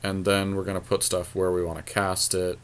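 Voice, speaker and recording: A man's voice: low-pitched, completely flat delivery, normal speed, clean tone. A man co-hosting a podcast, chatting casually and thinking aloud in short, colloquial phrases as he works through a card game. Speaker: podcast co-host. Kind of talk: casual chat while drafting a card game.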